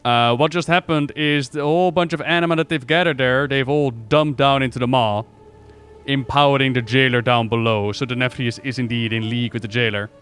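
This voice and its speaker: A man's voice: medium pitch, fairly even intonation, fairly quick, a bit bright, clear, resonant tone. A man giving casual livestream reaction commentary as a game lore YouTuber, explaining things in a chatty, informal way.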